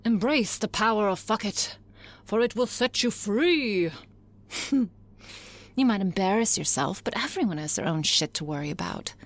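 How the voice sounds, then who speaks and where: A woman's voice: medium pitch, lively intonation. A woman playing a blunt, confident female office boss who talks frankly and wryly in an intimate ASMR roleplay. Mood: frank, encouraging. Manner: frank, profane, wry, musing with hesitant pauses. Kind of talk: intimate ASMR roleplay, conversational monologue to listener.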